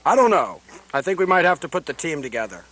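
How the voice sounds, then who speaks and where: Higher-pitched scheming agent played by a man. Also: sing-song, fast talker, a bit bright. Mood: casual plotting. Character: shifty, scheming male American intelligence agent. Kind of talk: satirical radio play acting.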